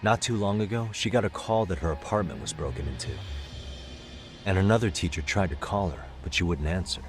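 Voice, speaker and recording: An actor playing a calm, persuasive detective in an English game dub, measured and politely insistent. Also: male voice, sits low, music in the background, lightly acted. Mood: polite, probing. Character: calm, persuasive male detective pressing for information. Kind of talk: dramatic game cutscene dialogue.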